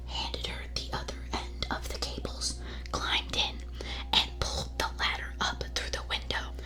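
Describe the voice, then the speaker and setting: A woman streamer reading aloud in a breathy ASMR whisper close to the microphone, with a playful, casual delivery. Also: fairly high pitch, sharp tone. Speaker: streamer host reading listener confessions.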